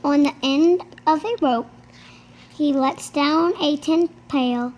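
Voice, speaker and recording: Young girl, high voice, beginning reader, very slow read-aloud, stumbles on tricky words and repeats them. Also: darkish tone. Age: child. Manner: sounds out hard words, repeats after a helper. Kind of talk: halting read-aloud of a rhyming storybook.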